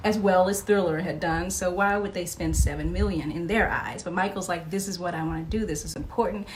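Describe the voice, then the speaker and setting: A woman's voice: on the low side, brisk, background noise. A middle-aged woman chatting casually as an interview guest, telling anecdotes and quoting remembered dialogue.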